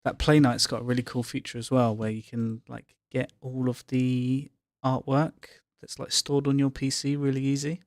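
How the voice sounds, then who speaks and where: A man's voice: medium pitch, level tone, normal speed, hardly any pauses, no echo, clean studio sound. A man chatting casually as he co-hosts a live gaming stream.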